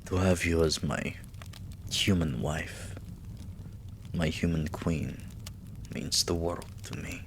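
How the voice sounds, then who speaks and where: A man playing a tender, devoted vampire king in a romantic ASMR roleplay, speaking in a hushed, breathy, soothing way that often slips into a whisper. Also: low voice, slight background noise. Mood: loving, solemn. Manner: hushed, slow, soothing, affectionate.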